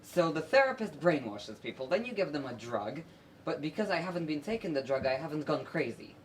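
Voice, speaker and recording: A man's voice: on the high side, sing-song, a little fast. A teenage male student acting a confused, insistent captive spy in an amateur stage play. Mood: baffled, questioning. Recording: distant noisy stage recording with clicks.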